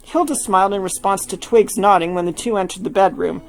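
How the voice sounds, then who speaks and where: A woman's voice: medium pitch, a little fast. Woman narrating a story over music. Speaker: fan fiction narrator. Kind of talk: scripted narration, story reading.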